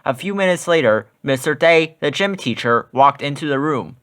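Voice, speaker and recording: A man narrates a children's storybook. His voice sits a bit higher than most men's, and he reads the scripted text aloud for young listeners. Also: expressive, moderate pace, a bit bright, clear, resonant tone. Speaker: storybook reader.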